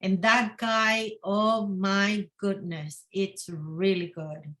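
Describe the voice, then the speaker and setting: A woman's voice: medium pitch, steady tone, extremely slow, a bit bright. A woman whose first language is not English, a guest author in a casual interview, often repeating and restarting her words.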